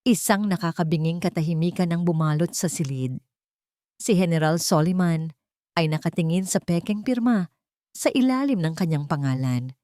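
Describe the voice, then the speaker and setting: A woman's voice: lowish voice, moderate pace, warm tone, no echo, clean studio sound. A Filipino-accented woman narrating an audiobook story in a scripted, dramatic style.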